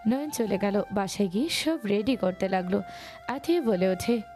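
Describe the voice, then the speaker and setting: Lady narrator reading a love story, soft dark-ish tone, medium pitch, bit of music behind. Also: animated, normal speed. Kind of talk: scripted narration of a romantic story.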